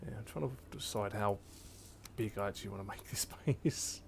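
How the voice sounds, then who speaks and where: Calm man giving game instructions. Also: on the low side, moderate pace, creaky, breathy edge. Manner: calm, gives patient instructions. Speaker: player in a group game. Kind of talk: casual gaming chat.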